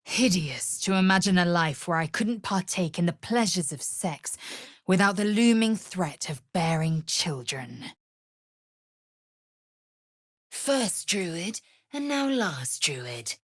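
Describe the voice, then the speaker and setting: A woman playing a proud, fierce githyanki warrior in a fantasy video game, with a husky, raspy voice and a clipped, imperious, formal delivery. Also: fairly low pitch, lively intonation, slow pace, dry recording, studio quality. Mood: wry, guarded.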